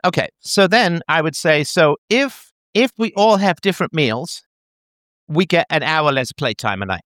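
A man's voice: a little high, normal speed, no echo. A man in his thirties in a casual chat, explaining an idea playfully as if talking to a child. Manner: animated, playful, talks as if to a child.

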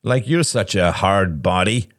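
A man's voice: lowish voice, expressive, a little slow, no room sound, studio quality, pitched above the performer's own voice. A man voicing a wry, teasing accountant friend with a sardonic, joking manner in an audiobook story.

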